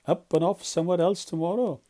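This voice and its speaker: A man voicing a boastful, gushing, excitable toad in a storybook, airy and full of exclamations. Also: high voice, brisk, voice pushed up, close to an everyday voice. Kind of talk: storybook character voice.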